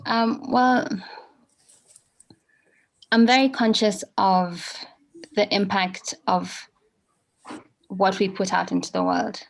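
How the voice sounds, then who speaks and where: A young adult woman, answering interview questions in a measured way with frequent pauses. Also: medium pitch, steady tone, vocal fry, low-quality recording. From Kenya. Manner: measured, pausing often with ums. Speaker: guest artist.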